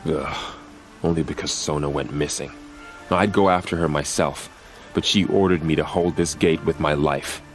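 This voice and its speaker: Gruff proud warrior in a game, really deep voice, music under it. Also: male voice, fairly even intonation, moderate pace. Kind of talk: video game cutscene acting.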